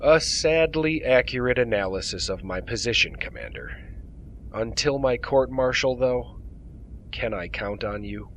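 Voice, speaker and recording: An actor playing a wry, unrepentant starship captain in an audio drama, speaking in a dry, measured and formal way. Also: male voice, medium pitch, lively intonation, fairly bright tone, a little hiss or hum. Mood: wry, composed. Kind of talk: science fiction audio drama acting.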